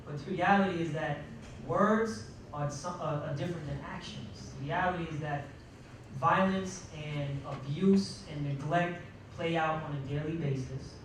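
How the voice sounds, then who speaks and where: A man with a fairly high voice speaks off the cuff on a panel. He is emphatic, breaking his sentences with pauses and rhetorical questions. The sound is very reverberant, with clear noise in the room. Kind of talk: panel discussion remarks, unscripted. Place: large indoor room at a college panel event. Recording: echoey, noisy room, distant microphone.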